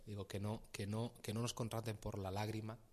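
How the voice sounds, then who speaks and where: Hesitant low-voiced Spanish manager. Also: male voice, little pitch movement, brisk, a little mellow, a little hiss or hum. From Castilla-La Mancha, Spain. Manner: hesitant, repeats words often, laughs easily. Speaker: company regional manager, interview guest. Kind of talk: casual radio interview.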